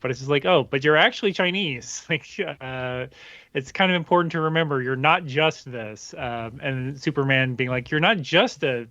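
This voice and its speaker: A man co-hosting a casual comic book podcast, chatty and quick to laugh as he explains his take. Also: mid-range voice, pushed and bright, no room sound, lo-fi sound. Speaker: podcast co-host.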